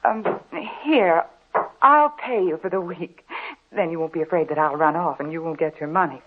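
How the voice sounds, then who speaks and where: A woman's voice: low voice, moderate pace, slightly rough. A woman playing a cheerful, sensible young housewife in 1940s radio drama style, chatty and politely questioning. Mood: puzzled. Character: cheerful sensible young housewife newly moved to a small town. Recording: muffled, band-limited old radio recording.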